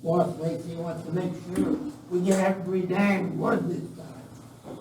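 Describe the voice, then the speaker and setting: A man's voice: sits high, little pitch movement, measured pace, darkish tone. An amateur actor playing a ruthless, bumbling outlaw henchman in a live radio western. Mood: menacing, conspiring. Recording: echoey room, distant, noisy.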